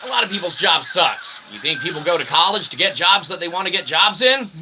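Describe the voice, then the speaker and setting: A man's voice: high voice, lively intonation, brisk, bright, forceful tone. A man hosting a rambling comedic monologue in a folksy showman's way, with theatrical asides and habitual check-in tags. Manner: folksy showman, theatrical asides, often says "okay" and "that's right". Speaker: host of a solo show. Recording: clearly noisy recording.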